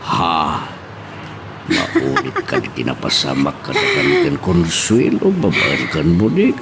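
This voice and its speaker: A doting ilocano papa, really slow n super animated, hesitant, radio drama. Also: male voice, a bass voice, very noisy, heavily acted. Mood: flustered. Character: doting, hesitant middle-aged father.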